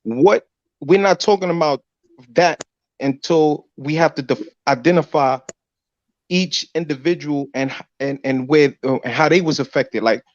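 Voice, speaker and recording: Host cutting in, demanding specifics. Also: male voice, fairly high pitch, moderate pace, no echo, lo-fi sound. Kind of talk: panel debate.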